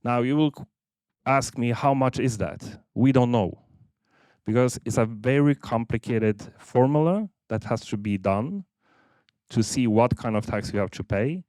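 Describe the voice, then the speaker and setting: A man's voice: mid-range voice, lively intonation, dark tone, no echo. Norwegian-raised man, 50s, hesitant seminar presenter, clean dry close mic. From Norway. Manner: halting, many fillers and restarts. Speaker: seminar speaker. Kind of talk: business presentation.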